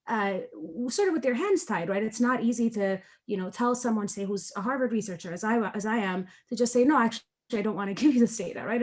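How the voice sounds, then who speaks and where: A woman from Ethiopia, a researcher speaking as a panelist in an online discussion over a video call, earnest and conversational, often checking in with "right?". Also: mid-range voice.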